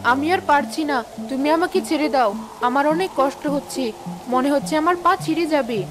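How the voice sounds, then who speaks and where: A woman's voice: high-pitched, brisk, over music, natural voice. A woman acting a worried, cautious village wife and mother in a cartoon drama, anxious and scolding. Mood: pained pleading. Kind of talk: dramatic Bengali animated story acting. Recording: rainstorm noise behind voice.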